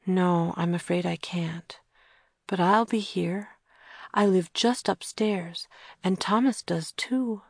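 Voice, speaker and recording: A woman reading an audiobook, voicing a gentle, protective young woman who speaks in a soft hushed whisper. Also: lowish voice, steady tone, slow, creaky, no room sound, studio quality, voice pushed up, heavily acted. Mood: soothing whisper.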